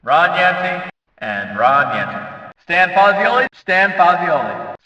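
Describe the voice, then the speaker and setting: A man playing a hyped-up race announcer in a video game, calling out drivers' names in a showy, booming style. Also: sing-song, bright, forceful tone, roomy sound, in a large hall, far from the mic, highs cut off, full character voice. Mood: punchy, upbeat. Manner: showy, booming, drawn-out name calls.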